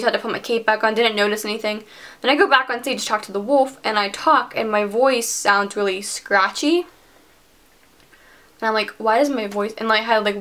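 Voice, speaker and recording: A woman's voice: fairly high pitch, fairly bright tone. Chatty teenage girl telling a story. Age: teens. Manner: chatty, heavy use of "like". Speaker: vlogger. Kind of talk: casual storytime vlog.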